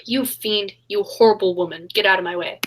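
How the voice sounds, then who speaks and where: A woman's voice: medium pitch, brisk, lightly acted, poor audio. A woman playing an earnest heroine, a detective's sweetheart in peril, in a 1940s style radio play. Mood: horrified, defiant.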